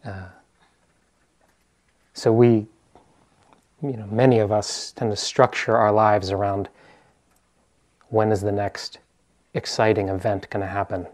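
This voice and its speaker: An American man from the East Coast giving an informal meditation talk as a teacher, hesitant and often pausing to search for words. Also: on the low side, a little mellow.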